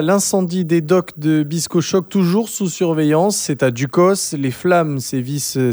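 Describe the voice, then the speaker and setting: A man's voice: on the high side, dark tone, dry recording, studio quality. A man presenting a radio news bulletin, reading steadily with the odd filler. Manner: measured, with occasional fillers.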